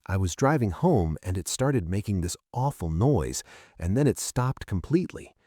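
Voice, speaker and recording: A man voicing a quiet, weary, elegant woman in a story, with soft, brief, hesitant replies. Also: fairly low pitch, sing-song, normal speed, dry recording, studio quality.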